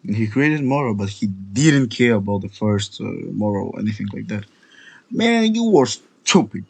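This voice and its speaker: A man's voice: fairly low pitch, big pitch swings, moderate pace, gravelly, a little mellow, husky. A man giving casual, off-the-cuff gameplay commentary as a let's player.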